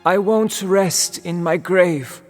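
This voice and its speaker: A man playing an earnest young nobleman in a storybook ghost tale, speaking solemnly and deliberately. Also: high voice, big-room sound, faint music behind. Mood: dying, urgent. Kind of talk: scripted audiobook character lines.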